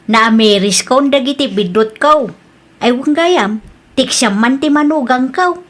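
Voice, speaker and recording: A woman playing a forgiving older grandmother in an Ilocano family comedy drama, speaking in a measured way. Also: sits high, animated. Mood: wistful. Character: older grandmother, once proud, mellowed and forgiving.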